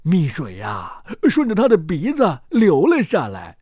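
A male storyteller reads a children's fairy tale with great drama. His voice sits high for a man and moves widely in pitch, in a dry, close recording. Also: wildly swooping pitch, a little slow, darkish tone, breathy edge, dry recording.

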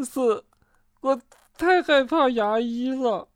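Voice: sits high, takes it slowly, smooth, dry recording, studio quality, voice pushed up. A storyteller voicing a feisty but easily frightened young animal in a children's storybook, exclaiming plaintively.

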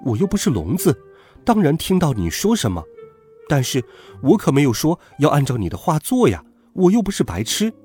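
Young schoolboy lead for a storybook, read by a man: cocksure, huffy, sarcastic, husky, expressive pitch. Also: fairly quick, faint music behind, exaggerated voice. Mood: scornful. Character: schoolboy hero, defiant, indignant, dryly sarcastic.

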